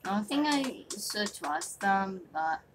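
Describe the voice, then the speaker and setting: A woman's voice: medium pitch, animated, slow pace. A woman chatting casually as she improvises a roleplay in a video game, recorded in a noisy room. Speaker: gamer hosting a roleplay video. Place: small bedroom, indoors. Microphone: phone filming the TV screen.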